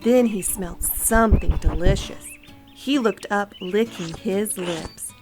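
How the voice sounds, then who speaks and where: A woman reading a children's storybook aloud as narrator, in an expressive storytelling style. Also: mid-range voice, a little slow. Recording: light music and birdsong behind.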